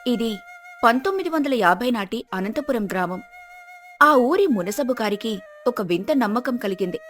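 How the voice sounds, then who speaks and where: A woman's voice: a little high, lively intonation, normal speed. A woman narrating a scripted village moral story as the storyteller. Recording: music behind the voice.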